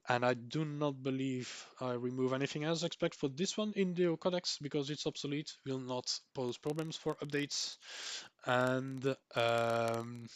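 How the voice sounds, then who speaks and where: Young Belgian male, Dutch first language, rambling tutorial voice-over, mid pitch, dry mic. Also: no echo. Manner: rambling, repeats words for emphasis, frequent ums and restarts. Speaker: tech tutorial creator. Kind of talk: screen-recorded software tutorial.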